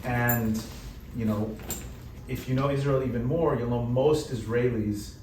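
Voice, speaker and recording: A man's voice: mid-range voice, measured pace. Easygoing man on a roomy panel stage. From United States. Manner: relaxed, conversational, frequent "you know" fillers. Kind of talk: panel discussion. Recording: roomy hall, distant mic, background noise.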